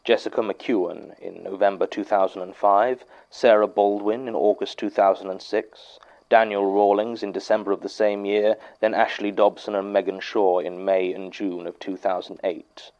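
An actor playing a dry, sceptical archivist who records case notes in a formal, measured audio drama monologue. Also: male voice, sits low, a little mellow, muffled recording.

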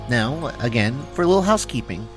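A man's voice: mid-range voice, sing-song, fast. Male host speaking over music. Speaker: podcast host. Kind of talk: scripted show wrap-up.

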